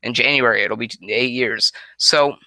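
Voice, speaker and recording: Young man, 19, headset mic, rambling Q&A, very bright crisp voice, dry close sound. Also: mid-range voice, normal speed, dry recording, rough recording. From Connecticut, USA. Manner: rambling, many restarts and self-corrections. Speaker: vlogger answering viewer questions. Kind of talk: casual Q&A monologue. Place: small room at home, indoors. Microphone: headset microphone.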